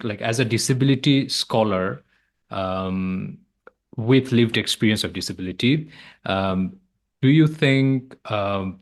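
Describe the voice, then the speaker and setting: A man hosting a podcast interview, asking long questions and answering replies with encouraging words like wonderful. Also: lowish voice. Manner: encouraging, says "wonderful" often. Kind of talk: conversational interview.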